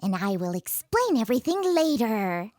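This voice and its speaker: A woman's voice: a little high, big pitch swings, normal speed, darkish tone, no echo, studio quality. A woman voicing a sly, scheming male cat in a cartoon storybook, coaxing and commanding. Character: sly clever tomcat, scheming, bossy male. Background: children's music.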